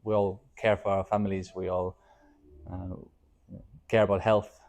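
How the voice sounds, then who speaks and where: Low, mellow man. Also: level tone, a little slow. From Estonia. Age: 30s. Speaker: interview guest, startup founder. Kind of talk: reflective interview answers.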